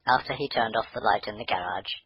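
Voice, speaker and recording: A man's voice: fairly low pitch, fast, slightly rough, highs cut off. A man narrating a scripted children's bedtime story as the storyteller.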